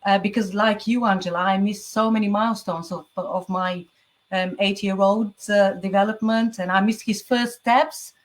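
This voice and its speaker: A woman's voice: medium pitch, steady tone, normal speed. A woman in her forties from the UK, a guest telling her story in a casual chat, chatty, with frequent laughs and some stammering.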